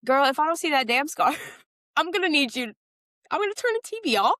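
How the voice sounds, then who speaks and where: A young woman co-hosting a casual pop-culture podcast, chatting in an animated, playful way with dramatic retellings. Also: high-pitched, a little fast, dry recording, clean studio sound. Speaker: podcast co-host.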